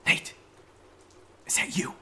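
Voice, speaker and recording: A man's voice: takes it slowly, pushed and bright, a little hiss or hum. A man playing a jittery rambling young guy. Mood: nervous. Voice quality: hesitant, stammering, rambling with many fillers. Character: nervous young man rambling about a haunted attic. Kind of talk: indie horror audio drama acting.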